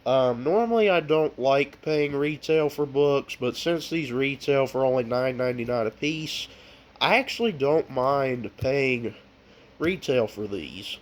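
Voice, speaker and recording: A man's voice: mid-range voice, expressive. A man with a stuffy nose from a cold, chatting casually in a vlog, showing off his book collection with plenty of ums and uhs. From United States. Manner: slow and unhurried, with frequent ums and uhs.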